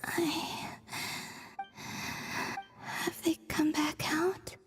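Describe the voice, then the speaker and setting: Gentle game moon maiden, high soft voice, near whisper, unhurried, faint music behind. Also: female voice, little pitch movement. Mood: fragile, lost. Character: gentle, dreamy young moon maiden, softly grateful. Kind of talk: video game voice acting.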